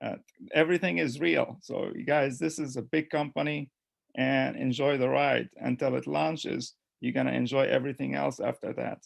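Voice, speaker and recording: A man's voice: medium pitch, fairly even intonation, moderate pace, vocal fry. A man from Michigan on a video call, telling a rambling, enthusiastic story. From Michigan, United States. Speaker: webinar participant. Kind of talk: casual testimonial.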